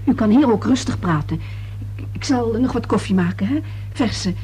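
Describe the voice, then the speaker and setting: An actress plays an accommodating mother in a radio play. Her voice is fairly high and mellow, with a polite, hesitant, slightly flustered delivery. Light hiss and hum run through the recording. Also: lively intonation, moderate pace, close to an everyday voice. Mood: obliging.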